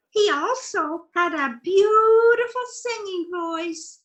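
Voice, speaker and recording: A woman's voice: very high-pitched, fairly bright tone, exaggerated voice. A woman playing a sweetly naive, scatterbrained wife in a 1940s-style radio comedy, with a girlish voice. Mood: fond, chatty. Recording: roomy, echoing recording.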